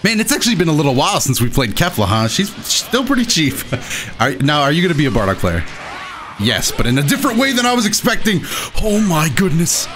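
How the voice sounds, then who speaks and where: A man hosting a gaming video with casual, excited, exclamatory and self-deprecating play-by-play commentary. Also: on the high side, brisk. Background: game music behind the voice.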